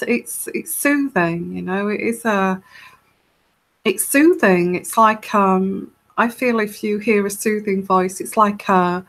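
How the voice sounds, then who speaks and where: A woman with a soft, soothing voice hosts a live tarot question-and-answer stream. She talks slowly and casually, wandering through her thoughts with plenty of ums and restarts. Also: medium pitch. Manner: rambling, hesitant, many fillers and restarts. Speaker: tarot reader hosting a live Q&A.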